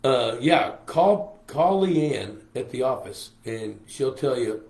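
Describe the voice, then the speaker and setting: Older guy, hoarse, rambling folksy livestream chat in an echoey room. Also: medium pitch, animated, slow, a little mellow. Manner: chatty, folksy, reads viewer comments aloud. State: hoarse from a lingering cough. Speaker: host. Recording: roomy echo on a live stream.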